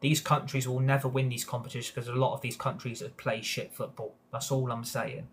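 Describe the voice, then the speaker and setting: A man's voice: mid-range voice, little pitch movement, brisk, slight background noise. A man hosting a live football match reaction stream, ranting heatedly, swearing often and answering his live chat. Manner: heated ranting with frequent swearing and repeated agreement. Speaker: football fan channel host.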